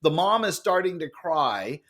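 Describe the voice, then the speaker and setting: Loud, animated middle-aged male storyteller. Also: measured pace. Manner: expressive, acts out quoted lines with big jumps in pitch. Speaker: guest, author and speaker.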